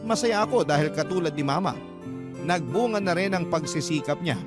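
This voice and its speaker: Male radio drama narrator, higher-pitched, telling a personal story over loud guitar music. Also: animated, a little fast. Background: prominent guitar music.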